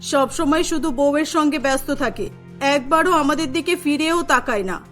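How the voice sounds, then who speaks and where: A woman's voice: sits high, normal speed, a bit bright, faint music behind. A woman voicing a complaining middle-aged mother-in-law in a cartoon.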